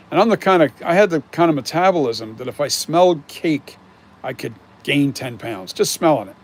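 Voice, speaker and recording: A man's voice: medium pitch, a little hiss or hum. Rambling man in his sixties. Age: 60s. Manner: rambling, candid, swears casually. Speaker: mask and prop maker. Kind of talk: casual live-stream chat.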